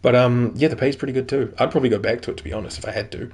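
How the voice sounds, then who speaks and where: A man's voice: mid-range voice, fairly even intonation, rapid-fire, darkish tone, a little hiss or hum. A young man with a New Zealand accent, reading a video game's dialogue aloud and joking between lines as a YouTuber. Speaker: gaming YouTuber. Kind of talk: reading game dialogue aloud with casual commentary.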